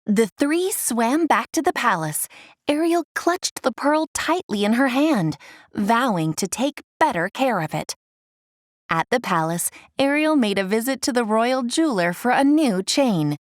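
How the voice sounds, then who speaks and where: A woman reading a scripted children's read-along story in an expressive storytelling manner. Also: fairly high pitch, unhurried, dry recording, clean studio sound. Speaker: storybook reader.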